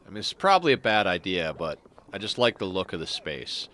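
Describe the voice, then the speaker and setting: A man's voice: low voice, big pitch swings, fairly quick, sharp tone. Stuffy-nosed man muttering over a game. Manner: muttering, self-mocking, chuckles often. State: stuffy, congested nose. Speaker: game streamer. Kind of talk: casual gameplay commentary.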